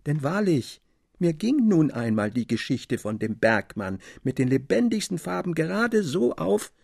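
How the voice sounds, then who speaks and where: Man playing an earnest literary storyteller, lively-pitched. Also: unhurried, creaky, slightly rough, no echo, studio quality, full character voice. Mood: playful pleading. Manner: earnest, literary, smiling protest. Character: genial male storyteller defending his gloomy tale to friends.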